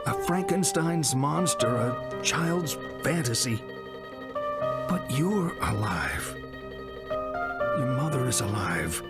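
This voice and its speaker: Slow, animated, goading psychiatrist. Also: male voice, medium pitch. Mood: grave, insistent. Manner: theatrical, pointed, deliberate delivery with rhetorical questions. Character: provocative, probing male psychiatrist, goading and impatient. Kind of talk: dramatic video game acting. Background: music.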